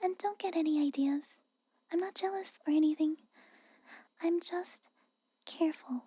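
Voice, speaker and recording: A woman playing a guarded, haughty but secretly caring castle maid in a whispered ASMR roleplay, halting and grudging, close to the microphone. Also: very high voice. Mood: defensive, grudging. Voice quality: soft, breathy whisper. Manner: hesitant, halting, grudging, cautioning.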